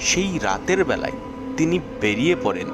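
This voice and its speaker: A man narrating a scripted ghost story in short, measured, suspenseful phrases. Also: mid-range voice, big pitch swings. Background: background music.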